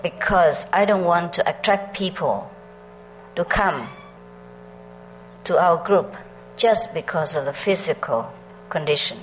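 Middle-aged woman teacher, lower register, laughs and quizzes her audience as she tells stories. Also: takes it slowly, long pauses, a little hiss or hum, rough recording. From Vietnam. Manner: chatty, laughs often, asks the audience questions. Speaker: spiritual teacher. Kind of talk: storytelling talk to a meditation group.

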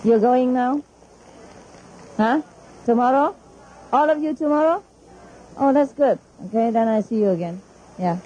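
A woman's voice: fairly high pitch, unhurried, long pauses, mellow, highs cut off, slight background noise. Chatty Vietnamese-accented woman on old tape. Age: middle-aged. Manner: chatty, laughing often, mixing in Vietnamese. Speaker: spiritual teacher. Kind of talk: informal talk to disciples.

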